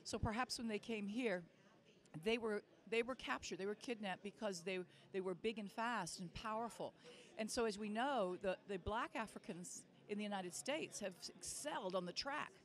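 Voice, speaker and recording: Musing woman, middle pitch. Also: moderate pace. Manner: musing, many restarts. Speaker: interviewee. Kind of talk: documentary interview.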